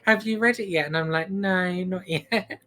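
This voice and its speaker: A woman's voice: fairly low pitch, normal speed, a bit bright. A woman co-hosting a podcast, chatting casually through a review in a hesitant, giggly way. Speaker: podcast co-host.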